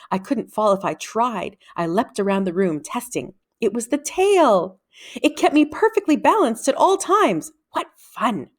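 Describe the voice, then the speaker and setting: Female read-aloud narrator for children's fiction, expressive and dramatic, with a mid-pitched, very animated delivery.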